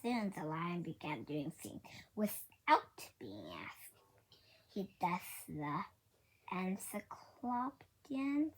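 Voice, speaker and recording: A woman reading a children's book aloud in a halting way, often repeating and correcting her words. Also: on the low side, very animated, low-quality recording. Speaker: storybook reader. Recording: faint background noise.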